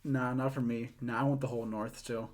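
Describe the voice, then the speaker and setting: A man's voice: mid-range voice, little pitch movement, fairly quick, darkish tone. A young man co-hosting a podcast, chatting casually and retelling scenes with laughs. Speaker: podcast co-host. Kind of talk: casual chat.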